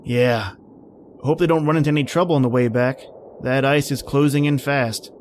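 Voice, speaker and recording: A man playing a calm, plain-spoken surveyor in a radio drama, narrating and talking through a lonely Arctic winter. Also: mid-range voice, moderate pace, a little hiss or hum, lightly acted. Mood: matter-of-fact.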